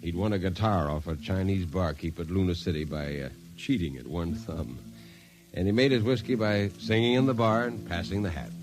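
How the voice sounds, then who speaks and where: A man's voice: sits low, fairly quick. An actor playing a plain-spoken American spaceman who narrates and chats in an old-time radio drama. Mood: reminiscing. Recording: vintage radio broadcast, music behind.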